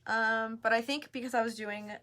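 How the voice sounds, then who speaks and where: A woman's voice: fairly high pitch, steady tone, moderate pace, sharp tone. A woman casually hosting a live craft tutorial, chatting informally as she explains each step. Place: craft store.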